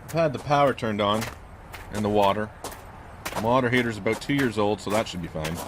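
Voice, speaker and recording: A man's voice: on the low side, a little fast, background noise, lightly acted. A man acting in an audio drama as a plain-spoken fellow showing someone a house, casual and matter-of-fact. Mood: practical, casual. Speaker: actor.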